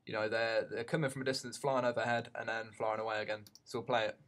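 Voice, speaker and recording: A man giving an unscripted tutorial as a teacher, haltingly thinking aloud as he goes. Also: lowish voice, level tone, fairly bright tone.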